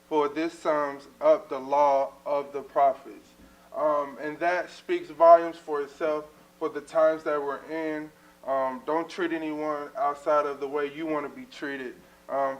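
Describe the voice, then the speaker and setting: Young guy hosting a church talk show, bit high voice, lots of ums. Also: low-quality recording. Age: 20s. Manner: earnest, many fillers. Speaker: talk show host and minister.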